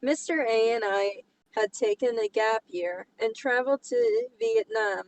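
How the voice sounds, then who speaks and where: A woman from Texas co-hosts a casual story reading. Her voice sits fairly high and she takes her time over the lines. She is playful and sarcastic, often interrupting herself with chatty asides. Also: measured pace, a little hiss or hum, rough recording. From Texas, United States. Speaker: co-host reading a story aloud.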